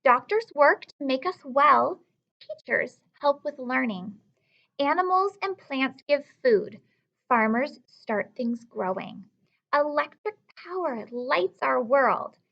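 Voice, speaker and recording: A woman teacher reading aloud and explaining simply to young children, often putting questions to the listener with emphatic, drawn-out storytelling delivery. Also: high-pitched, animated, vocal fry, lo-fi sound.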